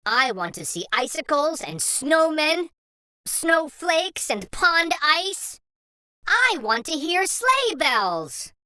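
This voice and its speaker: A man putting on an eager little boy hedgehog, stubborn and sleepy, for a storybook reading. The voice jumps well above the reader's own into a thin, slow and pleading tone, with a bright edge and a dry close sound. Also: extremely high pitch, big pitch swings, no echo, voice pushed up, heavily acted.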